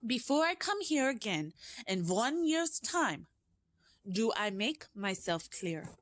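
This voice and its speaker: A woman's voice: fairly high pitch, big pitch swings, voice pushed up. A woman reading aloud and voicing a furious, tyrannical female witch leader who shrieks her orders and stretches out her words. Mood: shrieking rage. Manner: shrieking, loud, drawn-out words. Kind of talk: audiobook character voice.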